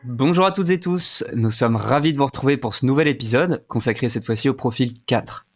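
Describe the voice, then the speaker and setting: A man opens the podcast episode as its co-host. He greets listeners in a polite, inviting way before handing over to the guests. His voice sits at a middle pitch. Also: fairly quick.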